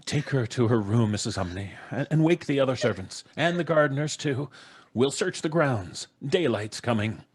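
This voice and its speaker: A man's voice: medium pitch, animated, normal speed, slightly rough. A middle-aged man playing a flustered, bossy American father, stammering and blustery, in old-time radio drama style. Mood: commanding. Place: small home studio. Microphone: close studio microphone.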